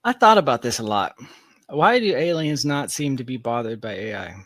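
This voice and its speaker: A man's voice: medium pitch, lively intonation, moderate pace, fairly bright tone, no echo. A man speaking as an interview guest in a casual, speculative discussion, reasoning aloud with hedges and long asides. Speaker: podcast guest, tech founder.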